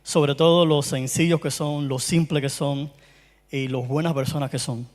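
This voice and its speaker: A man with a Cuban Spanish accent gives a talk in a large hall where his voice rings with long reverb. His voice sits somewhat high for a man. He talks in a chatty, friendly way, often pausing and restarting his phrases. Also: steady tone. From Cuba. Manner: warm, chatty, frequent hesitations and restarts. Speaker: conference speaker. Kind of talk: conference talk. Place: conference hall.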